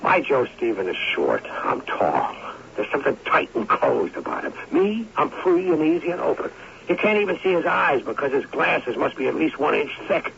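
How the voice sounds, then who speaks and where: A man's voice: on the high side, very animated, moderate pace, gravelly, fairly bright tone, full character voice. A man acting in an old-time radio drama as a weary, bitter former college athlete in his forties, theatrical and brooding. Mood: brooding, haunted. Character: bitter, weary former college sports star turned adman. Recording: muffled, hissy old radio recording.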